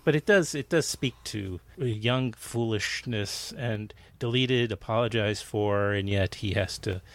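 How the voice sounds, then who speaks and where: Gravelly, low-pitched middle-aged male host. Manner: halting, restarts and trails off mid-thought. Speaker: podcast host. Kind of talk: casual conversation.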